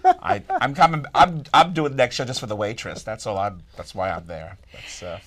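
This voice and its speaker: A man in a casual interview conversation, with a very lively, swinging pitch in a middle register. He talks like a joking storyteller, animated and loose. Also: normal speed. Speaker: vaudeville performer, interviewee. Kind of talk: casual group interview chat.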